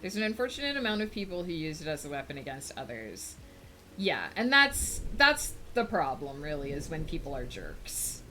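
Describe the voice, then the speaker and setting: A woman livestreamer in casual chat with her viewers, rambling thoughtfully with many fillers and brightening up to greet people in chat. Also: deep voice, sing-song, normal speed, faint music behind, rough recording. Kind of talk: casual chat with a live audience.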